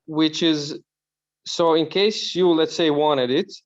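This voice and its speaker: Slightly lo-fi call audio carries a fairly high-voiced man with a Croatian accent. He is an audience member putting casual questions to the host. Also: slow.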